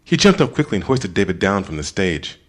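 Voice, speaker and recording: A man narrating an audiobook in a dramatic scripted style, expressive, with deliberate pauses. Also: low voice.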